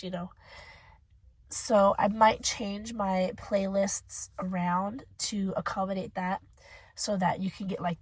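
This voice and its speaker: Hoarse, husky woman chatting about a book. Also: medium pitch, dry recording, low-quality recording. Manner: chatty, rambling, emotional. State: hoarse from a cold or allergies. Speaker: book reviewer, channel host. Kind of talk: casual book review vlog.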